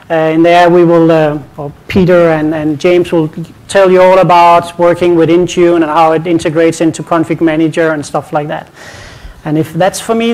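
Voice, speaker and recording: A man from Denmark with a Danish accent, giving a relaxed technical conference talk with restarts and light jokes. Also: fairly high pitch, poor audio. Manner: casual, repeats words, light joking. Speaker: conference presenter.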